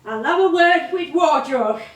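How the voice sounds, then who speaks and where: An actress playing a feisty, chatty old music-hall comedienne with a Northern English accent, in a bantering stage-play style. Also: female voice, high-pitched, expressive, a little slow. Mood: grumbling. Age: older. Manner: colloquial, bantering, theatrical. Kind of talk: live stage play acting. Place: theatre stage, recorded from a distance. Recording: roomy stage recording, distant mic.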